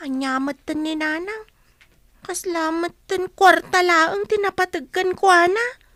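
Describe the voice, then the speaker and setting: A woman's voice: extremely high pitch, measured pace, pushed and bright, no room sound, exaggerated voice. A woman playing a young, plaintive child in a radio drama. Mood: resentful, hurt. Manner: plaintive, questioning. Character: young child, plaintive, hurt by absent mother. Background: music.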